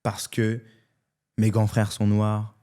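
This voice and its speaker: A French man in his thirties, a comedian, telling his life story in a casual interview in an animated, candid way. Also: on the low side, slow pace. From France. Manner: animated and candid, often adds "tu vois". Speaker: comedian guest.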